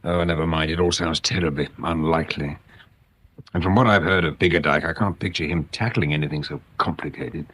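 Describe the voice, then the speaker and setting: A man playing a polite, sceptical and quietly stubborn English police inspector in a radio drama, understated and measured. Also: rumbling low, steady tone, husky, exaggerated voice. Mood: doubtful, inquiring. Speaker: actor playing a detective inspector.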